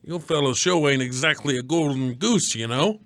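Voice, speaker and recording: A man's voice: mid-range voice, normal speed, fairly bright tone, no echo, close to an everyday voice. A man playing a blustery, wisecracking radio producer and disillusioned sports fan in a scripted comedy skit, with slangy, melodramatic talk. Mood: gruff wisecracking.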